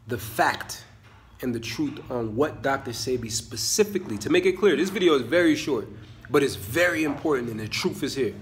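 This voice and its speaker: A man's voice: medium pitch, slight background noise. A man in his thirties with a Jamaican accent, talking animatedly to a phone camera in a small room in a spiritual vlog, using Rasta speech. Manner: animated, gestures a lot, Rasta speech patterns. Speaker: channel host. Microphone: phone camera at close range.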